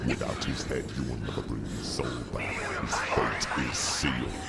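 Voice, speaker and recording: An actor voicing a dark, taunting spirit in a video game, with a growling, ominous delivery. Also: male voice, fairly even intonation, moderate pace. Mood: ominous, mocking. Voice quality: very deep, gravelly growl. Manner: ominous, mocking. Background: loud music.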